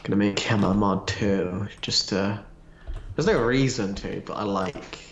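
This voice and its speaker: A man's voice: lowish voice, a little slow, dull audio, slight background noise. Jokey man co-hosting in an echoey room. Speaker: livestream co-host. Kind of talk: casual livestream chat, reading viewer comments. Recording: roomy echo.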